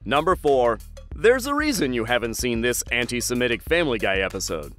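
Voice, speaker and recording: A man narrating a scripted countdown at a middling pitch, dropping wry asides between entries. Faint music sits under his voice. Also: very animated, a little fast.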